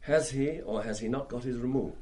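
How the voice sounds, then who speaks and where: An actor in a radio play as a kindly, earnest science master, politely and gently persistent. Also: male voice, medium pitch, natural voice. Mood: brisk, friendly. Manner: warmly insistent, brisk and polite. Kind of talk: radio drama acting.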